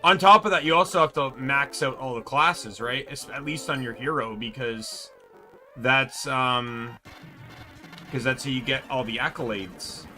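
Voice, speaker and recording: A man's voice: medium pitch, a little slow, pushed and bright, faint music behind. A man giving casual, rambling, explanatory commentary while streaming a video game.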